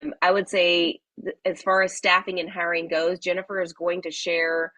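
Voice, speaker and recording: A woman hosting a live webinar, talking in a friendly, chatty way with many fillers, slightly raspy from a cold. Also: lowish voice, level tone, moderate pace, pushed and bright, no room sound. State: hoarse from a cold. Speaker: webinar host.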